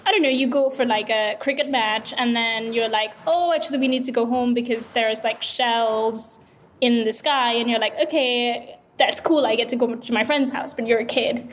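Young female founder from Sri Lanka, casual interviewee, slightly high voice, faint background hiss. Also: normal speed. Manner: casual, reflective, frequent "like" fillers. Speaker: startup founder. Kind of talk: interview conversation.

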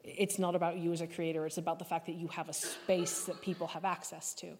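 A woman's voice: fairly low pitch, level tone, fast talker, a little mellow, a little hiss or hum. A woman speaking as a panel guest, giving advice in an emphatic, articulate way. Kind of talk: advisory panel discussion. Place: large indoor hall at a live panel event. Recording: echoey hall, live event.

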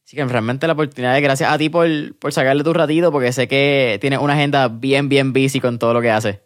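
A man hosting a casual interview podcast, relaxed and tossing English words into his Spanish. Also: medium pitch, fast talker, no room sound, studio quality. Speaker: podcast host.

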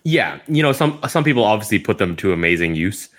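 A man in a casual chat, animated and quick to react with interest. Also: fairly low pitch.

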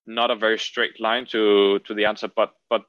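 A man with a Danish accent talks as an interview guest in a dry recording. He is conversational and halting, often doubling back on his words. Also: fairly low pitch, flat delivery, a bit bright, dry recording, highs cut off. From Denmark. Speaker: podcast guest, trading entrepreneur.

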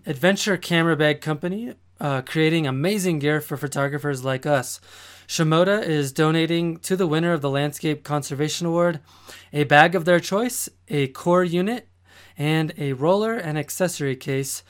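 Higher-voiced upbeat male podcast host. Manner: upbeat, laughs easily, loose phrasing. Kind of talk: casual interview hosting and sponsor reads.

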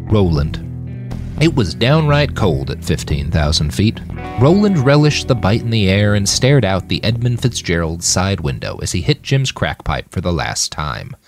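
A man reading scripted fiction as an audiobook, telling the story dramatically in measured, clipped phrases. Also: deep voice, sing-song, over music. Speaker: author reading his own novel on a podcast.

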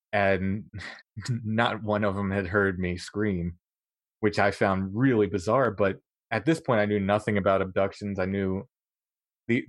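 A middle-aged man recounting his experiences as an interview guest, halting and full of restarts. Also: lowish voice, no room sound. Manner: halting, many restarts and fillers, occasional laughs. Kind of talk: casual interview storytelling.

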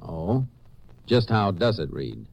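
Male Texas Ranger, calm American investigator, low register, vintage radio drama, muffled sound with faint noise. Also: slow pace, gravelly, no room sound, heavily acted. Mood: probing, businesslike. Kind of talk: 1950s radio drama acting with scripted narration. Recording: muffled, noisy old-time radio recording.

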